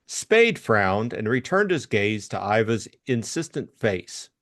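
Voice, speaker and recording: A man's voice: fairly low pitch, sing-song, slow, a bit bright. A man narrating a classic detective novel and discussing it as co-host. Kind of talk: scripted story narration and book discussion.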